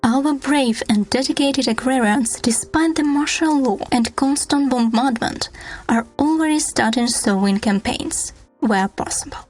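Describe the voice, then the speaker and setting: Higher-voiced woman narrating over soft music. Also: unhurried. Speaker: podcast narrator. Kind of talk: scripted documentary narration.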